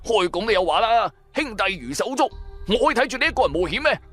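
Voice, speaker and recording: A high, swooping voice for a brash young Cantonese sidekick played by a male narrator. He is loyal but money-minded and nags in exclamatory banter, as in a radio play over background music. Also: big pitch swings, fast, a bit bright, full character voice. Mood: indignant. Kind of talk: Cantonese audio drama dialogue.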